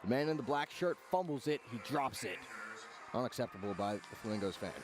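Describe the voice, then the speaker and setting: A man's voice: medium pitch, animated, a little fast. A man calling live baseball play-by-play on the radio in clipped phrases, following the action as it unfolds.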